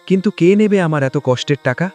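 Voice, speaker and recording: A man's voice: a little high, lively intonation, a little fast, darkish tone, faint music behind, natural voice. Humble poor village father played by a man. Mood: distressed. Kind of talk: Bengali moral-story cartoon dialogue.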